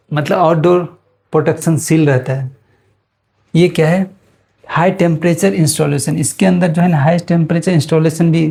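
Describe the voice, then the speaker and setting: A man's voice: a little high, dark tone. A man with an Indian accent gives an informal explanatory lesson as a teacher, repeating and rephrasing his points for students.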